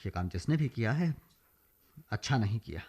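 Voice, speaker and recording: Earnest, dignified village schoolteacher. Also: male voice, lowish voice, expressive, darkish tone, slightly rough, dull audio. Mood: troubled, earnest. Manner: earnest, measured. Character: principled, dignified male village teacher and leader. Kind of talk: radio drama acting.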